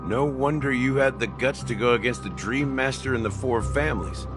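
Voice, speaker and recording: An actor playing a smug, playful young schemer in a game, teasing and theatrical. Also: male voice, mid-range voice, normal speed, over music, lightly acted. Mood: smug taunting. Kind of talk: scripted game voice acting.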